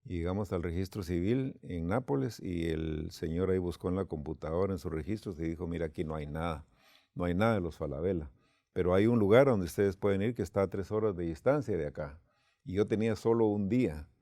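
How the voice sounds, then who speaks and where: Man, very deep voice, gravelly, dark tone, storytelling, church leader. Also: no echo, clean studio sound. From Guatemala. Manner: unhurried storytelling with quoted dialogue. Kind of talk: telling a personal story.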